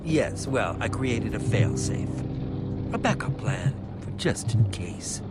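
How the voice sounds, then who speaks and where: Sly eccentric alchemist from a video game, theatrical, pitch all over, loud music under it. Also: male voice, very animated, takes it slowly. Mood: sly, explaining. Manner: theatrical, wry, hedging. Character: eccentric, theatrical, scheming male inventor and alchemist.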